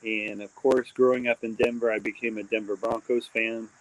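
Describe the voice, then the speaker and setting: A man's voice: on the low side, level tone, normal speed, slight background noise, poor audio. Midwestern-raised man in casual livestream chat, meandering, filler-heavy delivery, crickets in the background. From born in Texas, raised mostly in Indiana. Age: adult with a daughter. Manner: rambling, many fillers and restarts. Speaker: host of a live stream.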